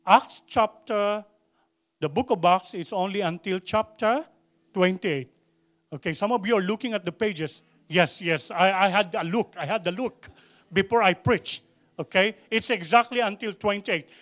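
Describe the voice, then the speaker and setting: A man's voice: sits high, moderate pace, fairly bright tone. A man preaching a sermon to a congregation, repeating phrases for emphasis and asking the church questions. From Philippines. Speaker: pastor.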